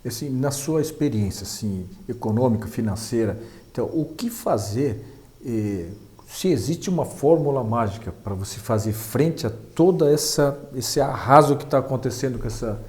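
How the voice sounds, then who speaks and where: A man's voice: medium pitch, expressive, normal speed, a little mellow. A Brazilian man hosting a casual interview, putting loosely phrased questions and murmuring along as he listens. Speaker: interview host.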